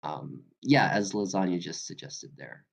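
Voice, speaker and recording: A man's voice: low voice, expressive, gravelly. A hesitant man giving casual feedback in a script workshop, on dull lo-fi call audio. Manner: hesitant, halting. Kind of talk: casual spoken critique.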